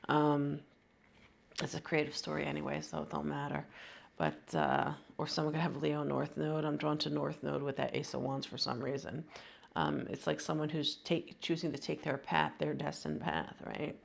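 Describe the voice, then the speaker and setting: A woman's voice: low voice, animated, moderate pace, vocal fry. A woman with a cold, sounding sniffly, giving a casual, rambling tarot reading full of slang and fillers. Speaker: tarot reader.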